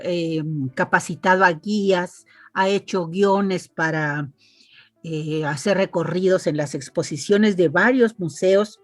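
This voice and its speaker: A woman's voice: fairly low pitch, no room sound. A woman hosting an online interview, chatty and reading a guest's résumé aloud with asides. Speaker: interviewer and host. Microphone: video call.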